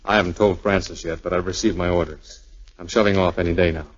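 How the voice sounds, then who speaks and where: An earnest young lieutenant, very deep and husky, speaking hesitantly in 1940s radio style. The recording is old and muffled. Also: male voice, fairly even intonation, fast talker. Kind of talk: 1940s radio drama acting.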